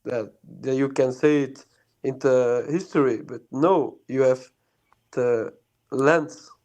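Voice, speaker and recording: A young man from Azerbaijan on a video chat, answering in a calm, hesitant, measured way. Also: mid-range voice. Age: young adult.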